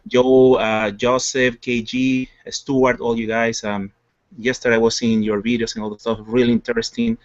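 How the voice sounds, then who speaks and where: A man's voice: mid-range voice, flat delivery, moderate pace, no echo. Peruvian-accented man, dry lo-fi call audio. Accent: Peruvian Spanish. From Peru. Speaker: collector and guest panelist. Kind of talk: casual chat, self-introduction. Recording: dull lo-fi video-call audio.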